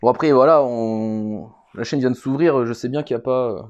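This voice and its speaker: Man, soft mellow tone, mid-range pitch, casual vlogger, hesitant, rambling, repetitive phrasing. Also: normal speed, lo-fi sound. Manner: hesitant, rambling, often repeating himself. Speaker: vlogger presenting a card collection. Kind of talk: casual unscripted show-and-tell.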